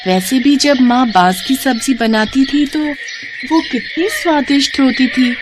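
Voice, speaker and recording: A woman voicing a poor young girl in a cartoon story, high and childlike, often pleading and sobbing. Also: measured pace, noisy background. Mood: eager.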